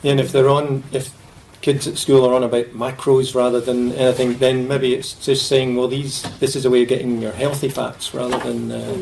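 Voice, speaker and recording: A man on a roundtable panel speaks in a hesitant, measured way, reaching for his words. His voice is gravelly, and his pitch barely moves. Faint background noise runs under his speech. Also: level tone, a little mellow, low-quality recording. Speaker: panelist guiding the discussion. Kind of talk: roundtable discussion. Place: event room.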